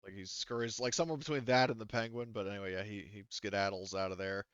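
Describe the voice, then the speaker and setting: A man's voice: on the low side, fairly even intonation, fast, a bit bright, smooth, no room sound, highs cut off. A man casually improvising narration as game master of a tabletop game, rambling and joking as he goes.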